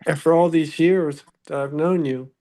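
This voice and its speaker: A woman's voice: low voice, fairly even intonation, moderate pace, dark tone, a little hiss or hum. A woman chatting casually on a video call, friendly and laughing easily. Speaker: group participant. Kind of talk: casual chat.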